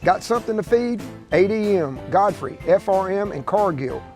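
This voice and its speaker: A man's voice: high voice, lively intonation, moderate pace, darkish tone, faint music behind. A man reading a scripted local store ad in a folksy way, listing product after product. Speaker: radio-style ad announcer.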